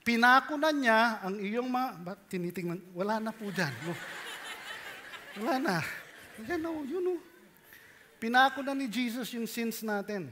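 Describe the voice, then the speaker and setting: High, bright-voiced Filipino pastor, a man preaching. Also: moderate pace, pauses often, a little hiss or hum. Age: 30s. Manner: animated, playful, rhetorical questions to the congregation. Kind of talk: sermon mixing English and Tagalog.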